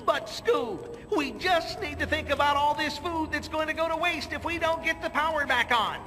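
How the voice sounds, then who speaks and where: A goofy, cowardly young slacker from a cartoon game. He is very high-pitched and sharp, whining skittishly in hippie slang. Long reverb and music surround him. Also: normal speed. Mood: nervous.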